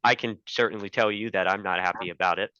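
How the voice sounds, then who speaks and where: A man's voice: lowish voice, steady tone, fast, pushed and bright, clean tone, no room sound. A man hosting a podcast and interviewing a guest in a conversational style, on a dry, slightly dull recording. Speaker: podcast host.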